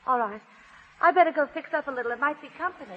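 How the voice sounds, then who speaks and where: An actress playing an anxious, caring young wife in a 1930s radio drama. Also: female voice, sits high, animated, fast talker, slight background noise. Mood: concerned. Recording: muffled, lo-fi 1930s radio broadcast.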